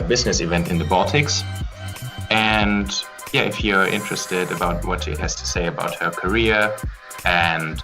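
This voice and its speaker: Guy hosting a podcast, low voice, lots of uhs, some music in the back. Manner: hesitant, many fillers. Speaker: podcast host. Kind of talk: casual interview.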